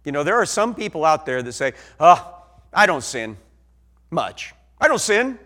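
A man preaching a sermon in an Ozark accent, swinging from soft asides to loud emphatic peaks. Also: fairly high pitch, very animated, normal speed.

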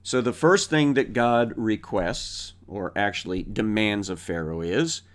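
A man teaching a Bible lesson unscripted, thinking aloud and pausing often with filler sounds. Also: fairly low pitch.

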